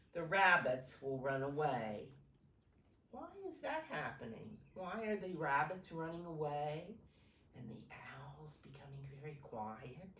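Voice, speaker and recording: A woman reading a picture book aloud to young children as a teacher, pausing to explain simply and ask them questions. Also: low voice, fairly bright tone. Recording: roomy echo, distant from microphone.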